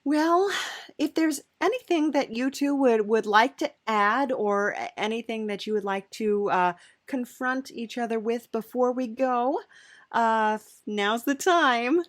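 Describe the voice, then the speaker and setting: A woman's voice: fairly high pitch, a little slow, dry recording, studio quality. A woman hosting a podcast in a playful, casual style. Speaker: podcast host. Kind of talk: playful character interview and casual sign-off.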